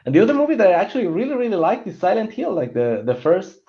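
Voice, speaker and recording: Animated, stammering man on muffled audio. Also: high voice, fast talker. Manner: rambling, stammering, restarts sentences often. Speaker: panelist on a film discussion show. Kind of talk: casual opinionated panel chat.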